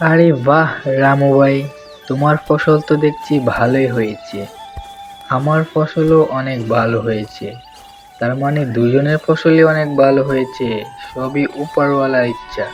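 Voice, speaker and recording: A man's voice: mid-range voice, measured pace, natural voice. A man playing a cheerful, neighbourly animal farmer in a cartoon fable. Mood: cheerful, content. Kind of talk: cartoon dialogue. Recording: music behind the voice.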